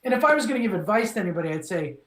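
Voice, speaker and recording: Middle-aged voice actor guy from minnesota telling stories, animated, lots of restarts, echoey room. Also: high voice, very fast, a little hiss or hum. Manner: animated, restarts phrases, acts out quoted lines. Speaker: voice actor, interview guest. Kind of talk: relaxed storytelling.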